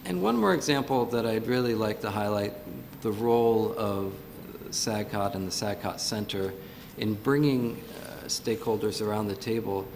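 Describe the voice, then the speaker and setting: A man's voice: moderate pace, darkish tone. A man giving earnest panel remarks at a conference, with fillers and restarts. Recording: gravelly voice in a reverberant hall, faint rumble.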